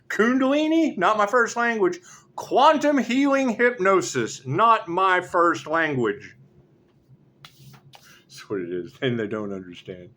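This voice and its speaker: A man's voice: sits high, lively intonation, slow, sharp tone. A man rambling sarcastically as a tarot reader, swearing often and laughing between remarks. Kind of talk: rambling monologue.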